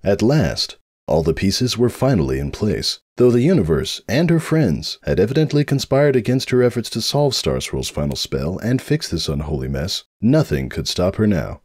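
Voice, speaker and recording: Deep-voiced man, animated story narrator. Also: moderate pace, husky. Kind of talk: dramatic scripted comic narration.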